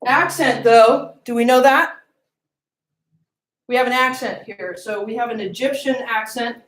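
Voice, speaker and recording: A woman's voice: a little high, a little slow, echoey room. A woman giving a classroom lecture, often asking the class questions and checking in with "okay?" and "right?".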